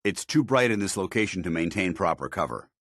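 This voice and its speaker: An actor playing a stern, disciplined middle-aged soldier in power armor, speaking formal, clipped military lines for a video game. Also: male voice, deep voice, expressive, brisk, fairly bright tone, no room sound, studio quality, exaggerated voice. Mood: businesslike. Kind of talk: scripted video game dialogue.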